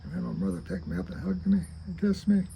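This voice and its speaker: A man reads a childhood memoir aloud in a halting, deliberate way, stumbling now and then. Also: sits high, big pitch swings, creaky, dark tone, slight background noise, low-quality recording. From rural Kentucky, United States. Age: 80s. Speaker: storyteller reading own memoir.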